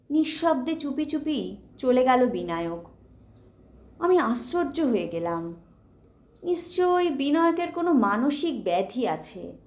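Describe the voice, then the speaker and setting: High-voiced woman narrating slowly, roomy sound. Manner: measured, expressive, with pauses. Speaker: story narrator. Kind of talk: dramatic scripted audio story narration. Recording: roomy, reverberant voice track.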